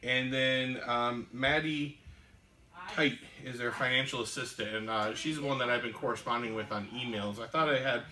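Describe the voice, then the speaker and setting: A man co-hosts a casual podcast and tells a long, rambling story, full of "um"s and "uh"s. His voice is bright and clear, at a middling pitch. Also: moderate pace, poor audio. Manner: rambling storyteller with many fillers. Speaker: podcast co-host. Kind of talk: casual chat.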